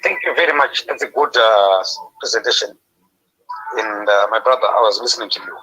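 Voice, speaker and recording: A man's voice: fairly low pitch, a little fast, sharp tone, breathy edge, low-quality recording. A man from Zimbabwe taking part in a forum, making emphatic, preacher-like declarations over a call. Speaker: forum participant.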